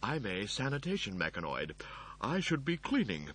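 A man's voice: fairly low pitch, wildly swooping pitch, moderate pace, fairly bright tone, husky, full character voice. A man voicing a meek, apologetic servant robot in an audiobook, deferential and hesitant.

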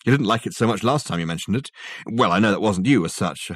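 A man's voice: fairly low pitch, lively intonation, fast talker, dry recording, clean studio sound. A man voicing a hesitant, thoughtful, bemused man in an audiobook, musing tentatively.

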